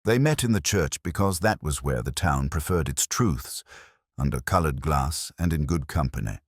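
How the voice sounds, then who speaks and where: A man narrates a literary mystery in an extremely deep voice. His intonation is lively while the storytelling stays deliberate, and the sound is dry and close. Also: breathy edge, dry recording.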